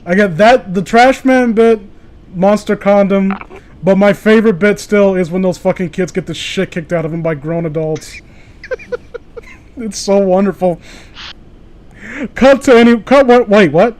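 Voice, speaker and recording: A man's voice: sits high, measured pace, long pauses, darkish tone. A man co-hosting a casual comedy podcast about internet horror stories, sweary, joking and exclamatory. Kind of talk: casual comedic chat with mocking read-alouds.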